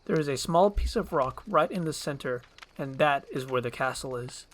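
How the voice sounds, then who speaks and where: A man's voice: fairly high pitch, expressive, moderate pace. A man reading scene directions from a screenplay as a storyteller, in scripted ASMR narration.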